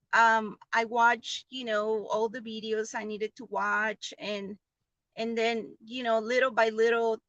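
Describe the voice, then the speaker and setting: Woman, non-native English accent, higher pitch, very bright tone, earnest halting delivery, informal personal testimonial. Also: no room sound, poor audio. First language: not English. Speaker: online business member giving a testimonial.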